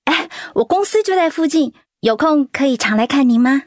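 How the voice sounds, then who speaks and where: A sweet-talking young agent. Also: female voice, high-pitched, animated, moderate pace, no echo, studio quality. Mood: ingratiating. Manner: polite, sweet, ingratiating. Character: young smooth-talking female real-estate agent. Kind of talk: radio play acting.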